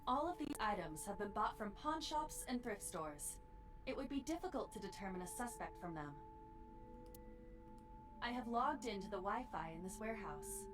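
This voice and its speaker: An actress playing a brisk, matter-of-fact female AI partner in a video game. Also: medium pitch, animated, close to an everyday voice. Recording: distant game audio over music.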